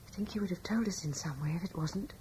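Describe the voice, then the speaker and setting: An actress playing a devout, gentle young wife in a radio drama, speaking in an earnest, tender and slightly hesitant way. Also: female voice, on the low side, fast, slight background noise, lightly acted. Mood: gentle reassurance. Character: devout, gentle young wife in ancient times, calmly reassuring.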